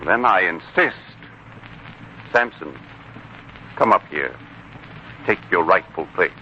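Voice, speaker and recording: Animated, commanding young heir on old radio. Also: male voice, sits low. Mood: earnest, insistent. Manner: measured, pointed, formal. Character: self-assured returning young heir, politely cutting and commanding. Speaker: radio drama actor. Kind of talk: 1940s radio acting. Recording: muffled, noisy 1940s radio broadcast.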